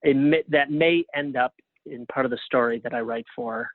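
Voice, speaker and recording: A man giving casual interview answers as a guest over a phone line, in a halting way with frequent restarts. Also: medium pitch, no room sound. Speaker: guest, playwright and librettist. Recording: thin phone-line sound.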